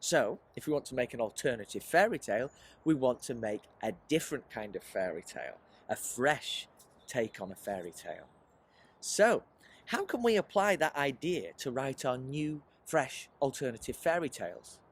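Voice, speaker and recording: A man leading a children's writing workshop in an animated, encouraging presentational style, often putting questions to his listeners. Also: mid-range voice, normal speed, breathy edge. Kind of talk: presentational teaching aimed at children, with rhetorical questions.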